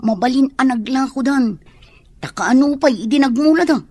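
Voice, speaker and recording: A woman's voice: high voice, moderate pace, a little mellow, no echo. An actress playing a carefree young female student in a radio drama, chatty and teasing.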